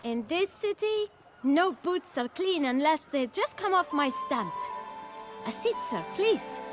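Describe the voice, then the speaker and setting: A woman's voice: sits high, very animated, takes it slowly, over music, exaggerated voice. A woman playing a cheeky young bootblack boy in a video game, a cunning hustler with mock-polite, wheedling sales patter. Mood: sly, bargaining. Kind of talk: video game character acting.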